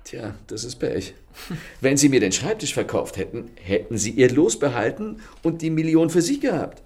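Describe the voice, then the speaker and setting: An actor playing a charming, ironic gentleman thief in a radio play, speaking with smooth, courteous mockery. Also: mid-range voice, expressive, normal speed. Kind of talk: radio drama acting. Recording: studio radio play with faint music.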